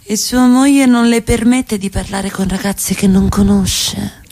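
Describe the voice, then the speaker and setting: An actress playing a coy middle-class wife given to flirtatious games in a radio play. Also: female voice, mid-range voice, measured pace, mellow. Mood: scornful.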